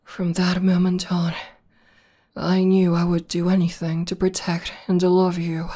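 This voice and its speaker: A woman performs a battle-hardened tomboy demoness in an intimate ASMR roleplay. Her voice is lowish and dark, with very little rise and fall. She speaks softly and breathily, halting often, like a devoted lover being earnest. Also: monotone. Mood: vulnerable, earnest. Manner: soft, breathy, halting and earnest delivery, often dropping to whispers.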